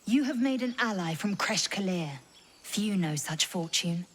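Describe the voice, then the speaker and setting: A woman's voice: medium pitch, lively intonation, a little slow, husky. A woman playing a haughty, commanding githyanki warrior in a video game, speaking in stern, clipped, formal phrases. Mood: imperious.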